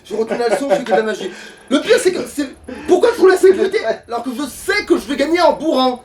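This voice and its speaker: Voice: high voice, big pitch swings, fast, a crowd in the background. A gamer giving casual, sweary and grumbling commentary during a let's play. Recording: distant mic with background noise.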